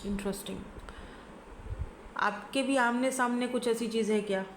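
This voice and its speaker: A woman with a slightly high voice gives a relaxed tarot reading, hoarse from a cold. She talks in a chatty, easy way and keeps checking in with her listener. The room around her rings with echo. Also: normal speed, slight background noise. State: hoarse from a sore throat and flu. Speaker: tarot reader. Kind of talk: casual pick-a-card reading to camera. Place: indoors at home by a window. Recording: echoey room.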